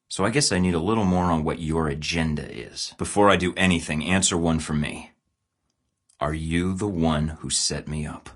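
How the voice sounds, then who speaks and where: A man's voice: rumbling low, a bit bright, slightly rough, heavily acted. An actor playing a blunt, sarcastic male spy agent in video game dialogue, with dry, clipped lines.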